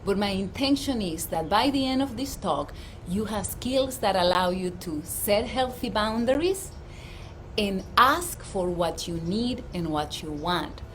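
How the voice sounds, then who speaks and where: A woman's voice: mid-range voice, expressive, measured pace, a little hiss or hum, low-quality recording. A middle-aged woman with a Dominican accent, a life coach giving an earnest, emphatic livestream talk outdoors. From Dominican Republic. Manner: warm, earnest, emphatic presenter. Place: outdoors at her home.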